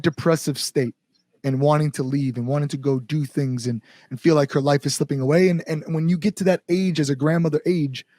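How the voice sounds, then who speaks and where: A man's voice: a little high, a little mellow, no echo, studio quality. A man speaking casually as an interview guest, earnest and rambling, repeating words for emphasis. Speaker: band frontman and songwriter, podcast guest. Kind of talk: casual podcast interview chat.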